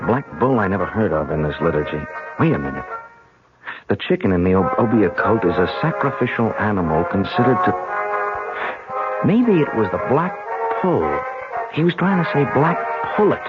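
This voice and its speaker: An actor playing an intellectual, wordy scholar in an old-time radio drama, speaking in an erudite, emphatic and deliberate way. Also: male voice, lowish voice, sing-song. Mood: urgent, thoughtful. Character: intellectual male parapsychology scholar, earnest and wordy. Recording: muffled radio broadcast with music behind.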